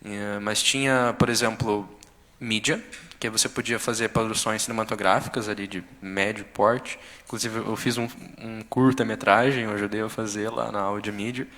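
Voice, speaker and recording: A young man with a Brazilian accent and a fairly low voice speaks informally, feeling his way through an account. His voice rings with long reverb in a big room. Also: fairly even intonation, moderate pace, a bit bright. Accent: Brazilian Portuguese. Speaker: exchange student giving a testimony. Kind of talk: informal spoken account at a public session. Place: council chamber. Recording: echoey hall with long reverb.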